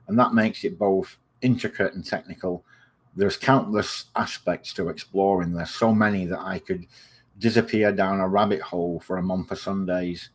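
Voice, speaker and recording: Low-voiced English host with a partly American accent, chatty and digressive, plenty of filler words. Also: male voice. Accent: English, softened by years in the US. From England. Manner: rambling and digressive, many ums and uhs; friendly sign-off. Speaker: video series host. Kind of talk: informal spoken health explainer.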